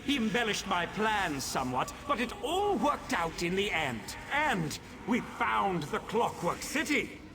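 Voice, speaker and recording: A man's voice: sits high, very animated, a little slow, hardly any pauses, noisy background, heavily acted. A man voicing a haughty trickster demon prince in a video game, gleefully menacing, with a theatrical, condescending, mock-polite drawl. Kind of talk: video game villain acting.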